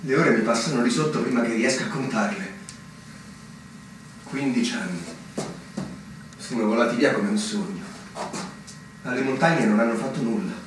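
An actor playing a weary, pensive fortress captain in a measured theatrical manner. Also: male voice, medium pitch, lightly acted. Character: weary fortress officer captain, ageing, waiting and doubting. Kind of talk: Italian stage drama acting. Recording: echoey stage recording, distant mic, clearly noisy.

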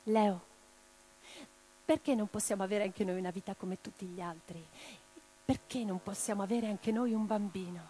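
A woman's voice: mid-range voice, fairly even intonation, moderate pace, long pauses, dark tone, slight background noise, close to an everyday voice, rough recording. An actress playing an impassioned revolutionary woman in a radio play, declaiming with theatrical intensity. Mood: subdued, wounded. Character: impassioned revolutionary woman orator and letter-writer. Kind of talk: theatrical dramatic monologue. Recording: guitar music behind the voice. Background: plucked guitar music.

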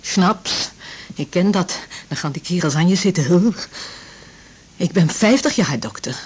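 An actress in a radio drama playing a chatty, anxious divorced patient in her fifties, rambling and confiding in a breathy voice. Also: lowish voice, lively intonation, measured pace. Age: 50s. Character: chatty, anxious fifty-year-old divorced female patient. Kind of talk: naturalistic radio drama dialogue.

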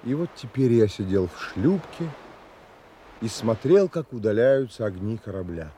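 A man's voice: on the low side, sing-song, moderate pace, mellow, slight background noise. An actor playing a proud, indignant castaway who narrates his sea adventure in an audio drama, dramatic and literary. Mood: tense narration.

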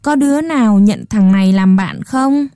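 A woman's voice: mid-range voice, moderate pace, mellow, no room sound, clean studio sound, natural voice. A woman voicing a grumpy, scornful old dollmaker who scolds and shoos a child away. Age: older. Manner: gruff, scolding, dismissive.

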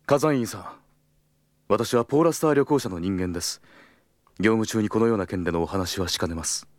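Guy doing an earnest salaryman in an audio drama, lowish voice, proper and principled, very dry sound. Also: brisk, no room sound, natural voice. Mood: politely firm. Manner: formal and deferential aloud, blunt in inner monologue. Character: earnest, principled male travel agency employee. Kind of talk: Japanese audio drama acting.

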